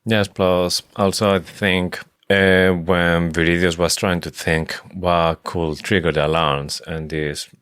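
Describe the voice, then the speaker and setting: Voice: sits low, little pitch movement, takes it slowly, hardly any pauses, a bit bright, clean tone, no echo. A podcast co-host in a casual book discussion, with non-native English phrasing and a tentative, searching way of talking.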